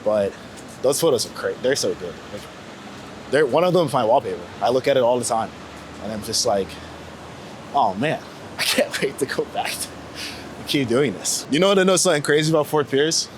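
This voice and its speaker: A man's voice: medium pitch, lively intonation, brisk, pauses often. A young American man from Florida talking casually and candidly in an interview, with informal, slangy speech. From United States, Florida. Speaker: street photographer being interviewed. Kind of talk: casual reflective chat. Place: outdoors on New York city streets. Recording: outdoor street noise with passing vehicles. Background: city traffic ambience.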